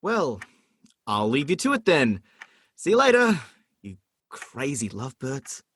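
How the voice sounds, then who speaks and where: A man's voice: mid-range voice, wildly swooping pitch, a little slow, a bit bright, slightly rough, full character voice. A man playing a theatrical, swooping sarcastic brother. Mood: flustered. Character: sardonic, theatrical, protective brother in his late twenties. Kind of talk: radio play comedy acting.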